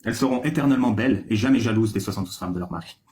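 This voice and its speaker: A man's voice: fairly low pitch, rapid-fire, a little mellow. A man hosting a live stream debate, talking in a joking and provocative way.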